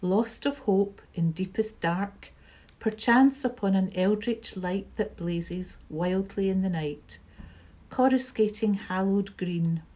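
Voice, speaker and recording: Slow, mellow woman reciting poetry. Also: mid-range voice. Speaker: library staff member reading a poem. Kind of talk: poetry reading.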